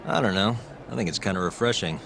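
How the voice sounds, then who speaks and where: Low-voiced, unruffled detective. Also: male voice, expressive, quick pace, gravelly, background noise. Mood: dry, relaxed. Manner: dry, unruffled, wry. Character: laid-back, dry-witted male private investigator. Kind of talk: English video game dub cutscene acting.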